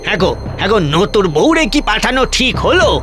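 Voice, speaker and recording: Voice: mid-range voice, very animated, quick pace, over music, lightly acted. An actor playing a concerned, gossiping villager in a cartoon, with a chatty manner. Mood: gossipy concern. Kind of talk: dramatic cartoon voice acting.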